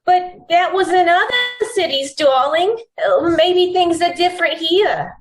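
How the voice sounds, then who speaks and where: A woman acts out an American crook's sweetheart from a 1940s radio crime play. She coaxes sweetly in a very high voice, taking her time over every line. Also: lively intonation, measured pace. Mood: doubtful, sweet. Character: coaxing gangster's girlfriend wanting a fur coat.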